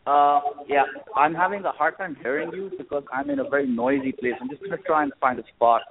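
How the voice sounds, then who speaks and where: A man's voice: fairly high pitch, steady tone, fast. A man with a Pakistani accent, a guitarist and band leader speaking plainly by phone from a crowded place. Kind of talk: phone interview. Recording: phone line, crowd chatter behind.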